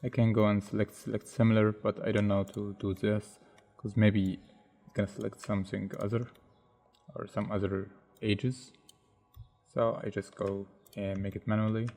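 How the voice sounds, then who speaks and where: Tutorial narrator, man, non-native English, gravelly and fairly low, halting think-aloud delivery. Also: level tone, a little mellow. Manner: unhurried, thinks aloud step by step with many hesitations. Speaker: software tutorial instructor. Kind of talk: narrating a screen-recorded modeling tutorial.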